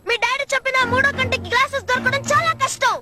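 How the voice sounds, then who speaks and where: Little cartoon kid, boy, piercingly high pitch, excitable, childlike, music behind. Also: fast talker. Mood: retorting. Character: small, squeaky, apologetic but game cartoon boy. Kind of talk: dubbed cartoon acting.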